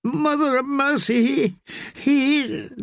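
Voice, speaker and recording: A man's voice: high voice, lively intonation, slow, no echo, studio quality, pitched above the performer's own voice, heavily acted. A man voicing a distraught noblewoman who stammers through tears in a quavering voice. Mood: tearful, stammering. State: crying.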